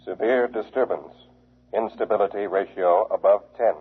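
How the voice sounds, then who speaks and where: An actor playing a cold, pedantic robot testing machine in a 1950s radio drama, with formal, clinical, measured delivery. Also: male voice, on the low side, husky. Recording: muffled, phone-like old radio broadcast.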